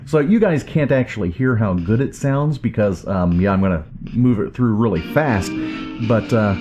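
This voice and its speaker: A man's voice: lowish voice, expressive, normal speed, music in the background. A man giving a casual, informal hands-on product review, talking off the cuff. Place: indoors at home. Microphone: camera or recorder microphone.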